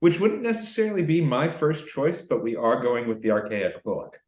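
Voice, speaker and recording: Co-host, from Arkansas, mid-pitch, teasing, confident explainer, roomy echo on a video call. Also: male voice, sing-song, moderate pace, darkish tone. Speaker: cocktail show co-host. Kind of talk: casual video call chat. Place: home in Miami.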